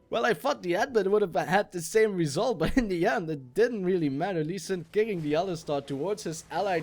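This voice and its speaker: A young man from Belgium, an English speaker, doing jokey solo commentary on a game replay and getting loud on exciting plays. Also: fairly high pitch. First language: not English. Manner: jokey, self-mocking, rises sharply on exciting plays. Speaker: esports shoutcaster.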